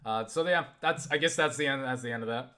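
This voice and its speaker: A man chatting casually and haltingly about games, swearing freely in an animated way. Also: mid-range voice. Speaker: video creator answering viewer questions. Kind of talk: casual rambling game chat.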